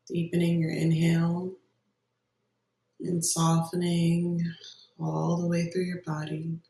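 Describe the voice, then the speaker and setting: Slow, very monotone meditation guide with creaky voice. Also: mid-range voice. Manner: gentle, unhurried instruction with long pauses. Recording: roomy echo, distant microphone.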